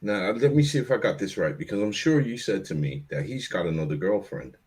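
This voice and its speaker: A man chatting casually on a livestream, asking and commenting in a hesitant, halting way. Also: fairly low pitch, animated, darkish tone. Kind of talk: casual chat.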